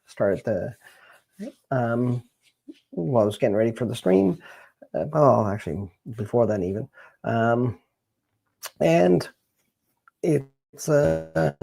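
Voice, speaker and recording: A Canadian man hosting a casual livestream, chatting to viewers in a rambling, halting way as he thinks aloud, with a gravelly, husky voice. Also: mid-range voice, mellow. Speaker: livestream host, genealogist.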